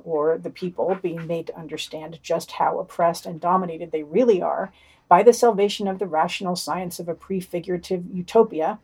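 A woman's voice: mid-range voice, darkish tone, slightly rough, low-quality recording. Woman reading a scripted podcast digest. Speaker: podcast co-host.

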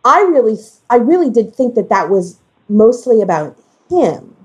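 A woman in a casual discussion, speaking haltingly as she thinks aloud and corrects herself. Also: a little high, big pitch swings, a little mellow. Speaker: podcast discussion guest.

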